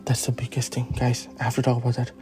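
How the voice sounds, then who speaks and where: A man's voice: medium pitch, monotone, quick pace, a little mellow. A young man with an Indian accent hosting a casual solo podcast, rambling with many "like" and "you know" fillers. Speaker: podcast host. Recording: faint guitar music behind.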